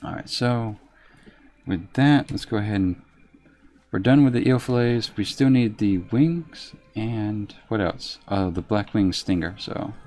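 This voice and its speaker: Soft-spoken man streaming a game. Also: lowish voice, soft background music. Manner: reserved, unhurried, talks while playing. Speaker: live streamer. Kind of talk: casual gameplay commentary, reading chat.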